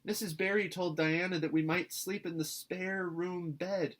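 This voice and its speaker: A man voicing an excitable, dramatic young orphan girl in a storybook reading, gushing and pleading earnestly. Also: high-pitched, unhurried, voice pushed up.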